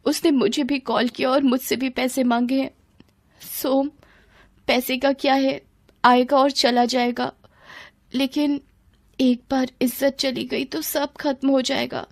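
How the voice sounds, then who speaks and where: A woman's voice: sits high, flat delivery, unhurried, no echo, pitched above the performer's own voice. A woman playing a coy, scheming young woman who acts sweet and helpless in a story, hesitant and pleading. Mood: feigned worry.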